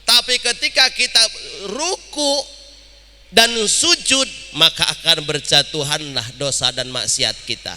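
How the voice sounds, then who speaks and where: A man's voice: on the high side, a little slow, bright, forceful tone. A man preaching in a mosque, teasing and joking with his listeners as he talks to them directly. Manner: humorous, teasing, addresses audience directly. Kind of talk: mosque sermon. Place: mosque hall. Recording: long hall reverb.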